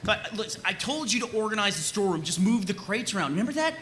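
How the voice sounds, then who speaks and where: A short-tempered cartoon soldier, scolds with exasperation. The voice is very high and sharp, jumps around in pitch, and has faint noise behind it. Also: male voice, big pitch swings, fast talker. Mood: exasperated. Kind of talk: comedic table read of animated series.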